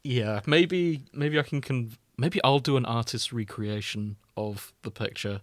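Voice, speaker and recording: A man's voice: medium pitch, measured pace, no room sound, clean studio sound. A man hosting a podcast in casual, teasing banter, with some swearing. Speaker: podcast host.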